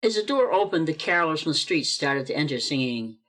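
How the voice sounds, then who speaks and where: A man's voice: fairly high pitch, very animated, fairly quick, background noise. A man narrating a church radio play over a video call, reading expressively and building suspense.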